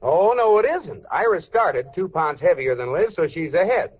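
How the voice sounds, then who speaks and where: High, husky radio sitcom husband. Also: male voice, very animated, moderate pace. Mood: eager. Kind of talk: 1940s-50s radio sitcom acting. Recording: muffled vintage radio recording with music.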